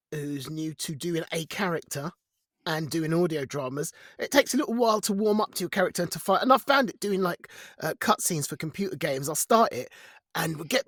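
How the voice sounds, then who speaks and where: A man co-hosting a casual podcast, telling things in an animated, rambling, colloquial way. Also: high-pitched, no echo, studio quality. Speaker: podcast co-host, also audio drama performer.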